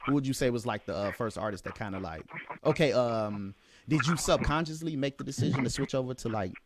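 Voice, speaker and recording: A man hosting a casual interview, feeling his way through questions in a halting, rambling way. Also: mid-range voice, background noise. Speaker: interview host.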